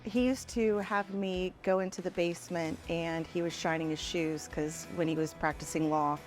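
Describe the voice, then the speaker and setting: Brief: woman, late 40s, bar owner, low-ish voice, playful and quick to laugh, faint music underneath. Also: steady tone, darkish tone. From Norwood, Ohio. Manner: playful, laughs often, jokey. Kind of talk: casual interview.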